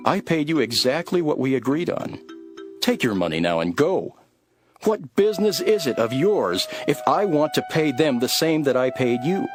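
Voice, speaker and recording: A man's voice: on the high side, very animated, normal speed, faint music behind, voice pushed up. A man voicing a firm, generous vineyard owner in a scripture drama, reasoning assertively. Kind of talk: acted parable in scripture drama.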